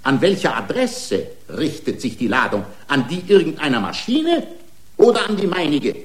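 An aggrieved cyborg racing driver arguing his own case in a radio drama. His voice is slightly high for a man and rings in a roomy space. He argues in pointed, rhetorical challenges. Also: sing-song, moderate pace. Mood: challenging. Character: indignant racing driver, part man part machine, defending himself. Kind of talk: German radio play acting. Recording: roomy studio courtroom sound.

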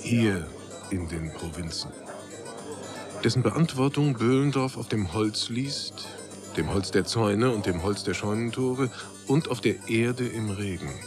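A male narrator in a literary radio drama. His voice sits fairly low, and music plays clearly behind him. The delivery is scripted storytelling. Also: expressive, a little slow.

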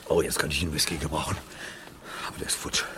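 Low voiced tired man on the run, muted n halting, old radio drama, noisy background. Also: fairly even intonation. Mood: weary, cold. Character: weary, shaken male fugitive, former fireman on the run. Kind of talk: subdued radio drama acting.

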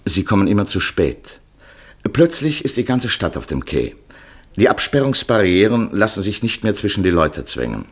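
A deep-voiced man narrates a 1950s radio travel feature. His intonation moves widely as he paints scenes in vivid, measured literary storytelling. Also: sing-song.

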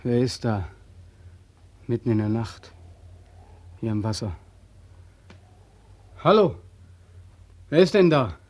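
An actor playing an embittered, despairing young soldier home from captivity, in an agitated, repetitive 1940s radio drama manner. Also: male voice, mid-range voice, sing-song, slow, pauses often, a little mellow, no echo. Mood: wary, questioning.

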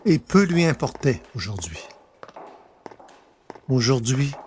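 A man narrating a literary story in French audio fiction, deliberately, pausing between short phrases. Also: mid-range voice, sing-song, a little mellow, a little hiss or hum.

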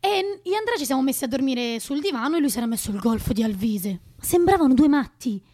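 Young mother in radio play, high voice, animated storytelling, teasing yet conciliatory. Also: fast talker. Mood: amused mimicry. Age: young adult. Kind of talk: naturalistic radio drama.

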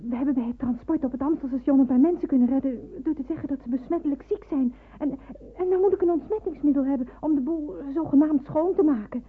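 A brave, anxious young nurse acted by a woman in a wartime radio play. Her voice is extremely high and comes through a thin, phone-like old recording. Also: quick pace, few pauses, lightly acted. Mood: urgent. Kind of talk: Dutch radio drama acting. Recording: tinny, band-limited old radio recording.

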